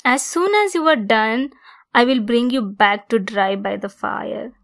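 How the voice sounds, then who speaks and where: Female centaur for ASMR roleplay, played by a woman: possessive yet caring, lightly teasing, higher-pitched, very unhurried delivery. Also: gravelly. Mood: gently teasing. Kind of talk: ASMR roleplay, scripted monologue.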